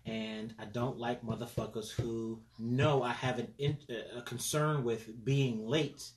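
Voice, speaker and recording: A man's voice: medium pitch, fairly even intonation, a little slow. A man in his forties co-hosting a podcast, telling stories and joking around in casual chat. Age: 40s. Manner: joking, playful storyteller. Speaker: podcast co-host. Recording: roomy with faint hum.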